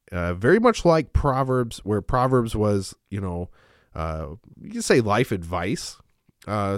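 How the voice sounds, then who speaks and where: A man in his thirties, a pastor hosting a Bible-teaching podcast from a small home studio, talking in a relaxed, rambling way with lots of fillers and restarts. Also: dry recording. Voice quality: smooth, low.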